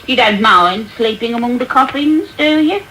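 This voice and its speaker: An actress playing a bossy, stingy, sharp-tongued undertaker's wife with an English accent, scolding brusquely in a radio play. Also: female voice, a little high, lively intonation, moderate pace. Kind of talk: British radio drama acting. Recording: noisy, crackly medium-wave radio tape.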